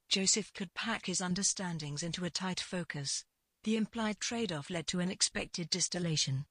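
A woman narrating literary fiction from a script in a measured way. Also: fairly low pitch, a bit bright, slightly rough, no echo.

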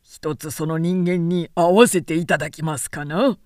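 A woman's voice: lowish voice, steady tone, moderate pace, a little mellow, no room sound, clean studio sound, natural voice. A woman voicing a proud male samurai official in an audiobook, speaking in stiff, cutting, formal archaic language. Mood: defiant.

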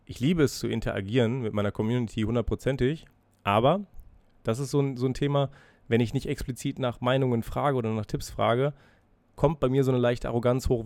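Ein erkälteter Mann spricht frei und nachdenklich in seinem Podcast, reflektiert über sich selbst, mit Zögern und Füllwörtern. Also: male voice, mid-range voice, fairly even intonation, brisk, a little mellow, dry recording. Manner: freely spoken, musing, self-reflective with hesitations. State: has a cold, breathing heavily. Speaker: podcast host. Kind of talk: casual solo podcast monologue.

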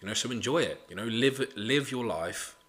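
A man's voice: medium pitch, expressive, moderate pace, fairly bright tone. A man, hosting a casual solo podcast, blunt and motivational, swearing freely and leaning on "you know". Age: 20s. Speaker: podcast host.

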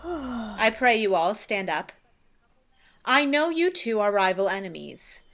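A woman's voice: mid-range voice, very animated, slow pace, fairly bright tone, exaggerated voice. Commanding, gracious ruler, played by a woman, middle-register voice, formal Shakespearean verse for a radio play. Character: commanding noble ruler of Athens, stately and gracious.

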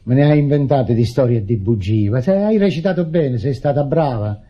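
An actor with a Neapolitan accent playing a bitter, suspicious middle-aged brother in a radio drama, sarcastic and stammering when flustered. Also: male voice, medium pitch, lively intonation, brisk, dark tone, breathy edge. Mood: sarcastic, accusing. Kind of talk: stage-style Italian radio drama acting. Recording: muffled old radio drama recording.

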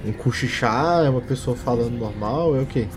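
A man's voice: medium pitch, fairly quick. Casual Brazilian man playing tabletop RPG. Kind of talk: casual improvised play. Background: faint music.

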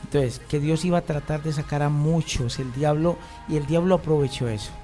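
A man's voice: on the high side, steady tone, fairly quick, mellow, soft background music. A man with a Colombian accent, a pastor preaching a sermon to his church and putting questions to the congregation. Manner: emphatic, asks the congregation questions.

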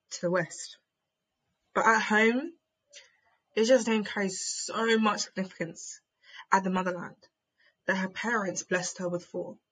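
A young woman performing a spoken word piece in a measured, rhythmic way, then chatting on a podcast. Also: medium pitch, a bit bright, slightly rough, low-quality recording. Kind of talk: spoken word reading, then casual discussion.

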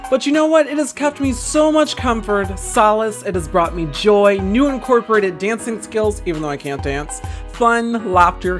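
A woman hosting a solo podcast in a casual, rambling way, with a gushing, theatrical and emphatic manner. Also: mid-range voice. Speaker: podcast host.

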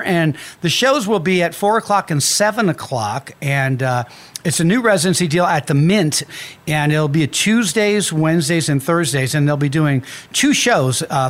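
Relaxed man hosting a radio show. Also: on the high side. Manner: relaxed, with frequent fillers. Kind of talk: casual radio interview. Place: radio studio.

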